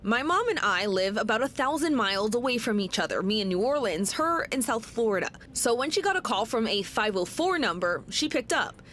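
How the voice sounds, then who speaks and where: Higher-voiced woman reporting TV news. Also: sing-song, brisk, hardly any pauses, a bit bright, slight background noise.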